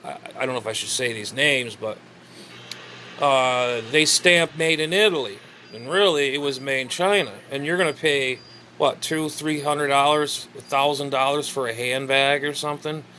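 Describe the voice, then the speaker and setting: An average-pitched man talks in a loose, blunt, rambling way, like a hobbyist chatting to viewers from home. There is faint noise behind the voice. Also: normal speed, pushed and bright. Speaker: amateur radio hobbyist video host. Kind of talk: casual rambling vlog chat. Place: messy home radio shack, indoors.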